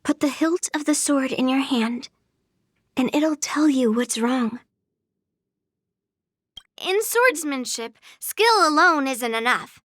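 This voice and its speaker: A woman's voice: high voice, lively intonation, slow, pauses often, a bit bright, no echo, studio quality, exaggerated voice. A woman playing a brash, earnest young swordswoman in a game, blunt but girlish, speaking in a declarative, matter-of-fact way. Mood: earnest, instructive. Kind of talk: scripted game character voice lines.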